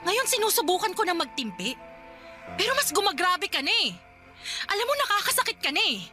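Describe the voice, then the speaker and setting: A woman's voice: very high-pitched, big pitch swings, fairly quick, sharp tone, faint music behind, full character voice. A hurt, demanding girlfriend. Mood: exasperated. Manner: pleading, confrontational. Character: Ana, woman pressing her partner for commitment. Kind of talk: radio drama acting.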